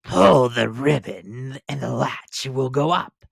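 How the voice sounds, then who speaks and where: A deceitful storybook wolf, played by a man, pitching the voice up to pass as someone gentle. It is falsely sweet and drawn out, with some huskiness. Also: takes it slowly, gravelly, breathy edge, no echo, clean studio sound, full character voice. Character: deceitful wolf mimicking a soft feminine voice.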